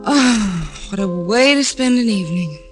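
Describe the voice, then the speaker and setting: A woman playing a teasing society girlfriend in a vintage radio drama. Her voice is expressive and very mobile, arch and exasperated, with some music in the recording. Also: mid-range voice, unhurried, natural voice. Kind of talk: 1940s-50s radio drama acting.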